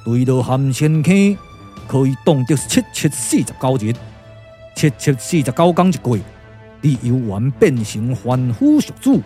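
A man with a Taiwanese accent voicing a solemn, calculating sage strategist in a puppet radio drama, speaking slowly, deliberately and persuasively. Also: mid-range voice, sing-song, warm tone, faint music behind. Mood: grave warning. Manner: slow, deliberate, weighty delivery.

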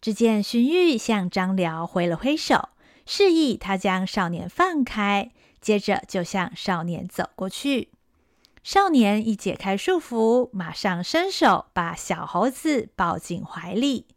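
A woman narrating an audio drama in a smooth, expressive storytelling manner. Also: mid-range voice, slow, no room sound, studio quality.

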